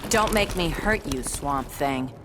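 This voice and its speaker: A woman's voice: low-pitched, expressive, takes it slowly, heavy background noise. An actress playing a tough, confident, teasing female superhero in a video game, sassy and challenging. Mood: cocky challenge. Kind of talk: video game fight intro dialogue. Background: loud music.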